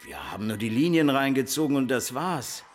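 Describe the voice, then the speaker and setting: A man's voice: mid-range voice, big pitch swings, brisk, faint music behind, lightly acted. An actor playing a shifty, blustering showbiz man in a German radio crime drama, slangy in his manner. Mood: slangy, ingratiating. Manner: slangy, swinging from indignant to ingratiating. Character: shifty, blustering male TV showbiz gun owner.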